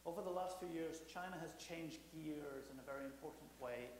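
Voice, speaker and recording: A slightly hoarse man in his forties delivers a lecture at a brisk clip, often restarting his phrases. His somewhat high voice rings through a large echoey hall with a faint hum. It is picked up by a podium mic. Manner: fast, fluent, frequent restarts. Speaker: guest speaker. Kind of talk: public lecture. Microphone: podium microphone.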